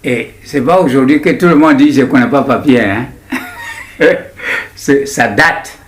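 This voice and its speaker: Slow-spoken Ivorian man in his nineties. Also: mid-range voice. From Ivory Coast. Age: 90s. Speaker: interviewee, writer. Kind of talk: personal recollection in an interview.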